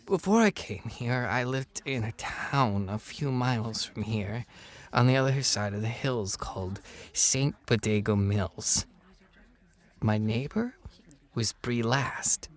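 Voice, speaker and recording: An actor playing a sombre, respectful male war refugee with deliberate, halting phrasing in an audio drama. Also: mid-range voice, animated.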